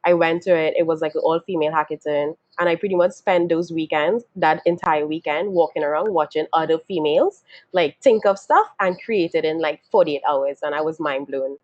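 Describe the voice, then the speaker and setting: A woman's voice: sits low, brisk, a little mellow, dry recording. A woman from Trinidad and Tobago telling her story casually on a webinar, chatty, with lots of fillers and laughter. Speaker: webinar panelist. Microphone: video call. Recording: dull lo-fi video call audio.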